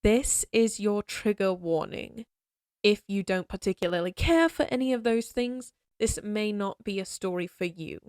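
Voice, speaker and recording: A woman's voice: mid-range voice, moderate pace, a little mellow, no room sound. A woman who creates audio roleplays, giving a friendly spoken introduction that speaks directly to her listeners. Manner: friendly, direct address to the audience.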